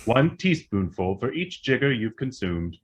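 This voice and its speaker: An actor voicing an impassive computer in an old-time radio play, announcing in a formal, mechanical way. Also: male voice, medium pitch, big pitch swings, unhurried, breathy edge.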